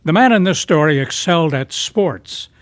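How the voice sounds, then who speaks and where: A man's voice: on the high side, expressive, moderate pace, dry recording, studio quality. A man narrating as the announcer of a radio drama program in a scripted style.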